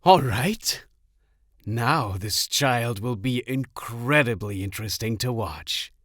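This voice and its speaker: A man's voice: medium pitch, animated, slow pace, a bit bright, no room sound, clean studio sound. A man acting a laid-back, intrigued god who watches mortals, in a fantasy audio drama, speaking matter-of-factly.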